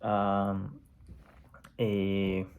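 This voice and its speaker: Halting man with a Mandarin Chinese accent. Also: fairly low pitch, completely flat delivery, darkish tone, clean tone. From China. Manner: halting, searching for words. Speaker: language learner. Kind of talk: unscripted personal vlog.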